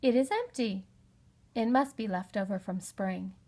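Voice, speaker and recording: A woman voicing a calm, secretive father in a children's storybook, speaking matter-of-factly. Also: mid-range voice, measured pace. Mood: informative.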